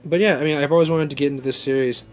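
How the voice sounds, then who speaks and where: A man's voice: a little high, fairly even intonation, rapid-fire. A young adult man hosting a vlog, talking in a casual, unscripted, rambling way as an enthusiastic fan who often corrects himself. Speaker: vlog host. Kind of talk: casual unscripted unboxing chat. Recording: music playing behind the voice. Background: background music.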